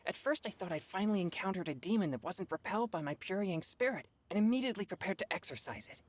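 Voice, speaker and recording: A woman's voice: mid-range voice, animated, fast, lightly acted. A woman playing an earnest, serious teenage boy exorcist in an anime game, speaking in a formal, self-critical way.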